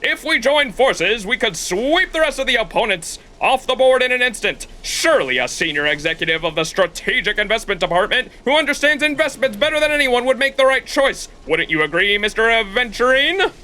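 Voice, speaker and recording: A man's voice: very high-pitched, animated, brisk, few pauses, pushed and bright, voice pushed up, exaggerated voice. A man voicing a flamboyant, boastful old film director villain from a game, with a grandiose, exclamatory delivery. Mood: scheming, theatrical. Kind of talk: acted reading of game dialogue.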